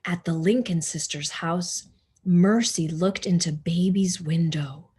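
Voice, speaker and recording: A woman reading a children's story aloud as a teacher, deliberate and expressive for young listeners. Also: fairly low pitch, slightly rough. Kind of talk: storybook read-aloud narration.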